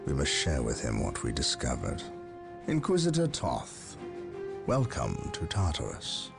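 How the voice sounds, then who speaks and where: An actor playing a stern, brooding male space marine captain who speaks in a grave, measured, commanding way. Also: a bass voice, wildly swooping pitch, vocal fry, music in the background, full character voice. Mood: resolute. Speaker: soldier. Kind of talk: video game cutscene acting.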